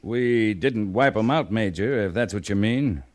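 An actor playing a wry, world-weary cavalry captain in a radio western, speaking in a dry, laconic, sardonic way. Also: male voice, on the low side, animated, unhurried. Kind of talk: 1950s radio western acting. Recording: noisy old radio recording.

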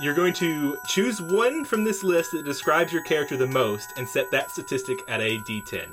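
A man acting as game master for a tabletop game, explaining the rules casually with lots of fillers and quick laughs. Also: fairly high pitch, over music. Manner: lively, laughs easily, many fillers. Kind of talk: running a tabletop game session.

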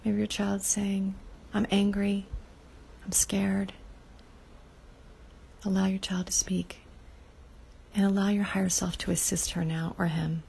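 A woman leading a guided inner-child meditation, speaking softly and slowly, often in a half whisper. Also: medium pitch, level tone, gravelly, slight background noise.

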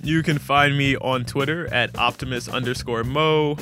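A man's voice: medium pitch, fairly even intonation, normal speed, sharp tone, faint music behind. A man speaking as a guest storyteller in a casual interview, candid and informal, with a self-deprecating way of talking.

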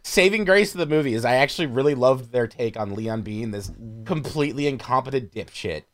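A man's voice: medium pitch, animated, a little fast. A man in a casual, opinionated chat about films as a podcast guest, swearing freely and wandering into asides.

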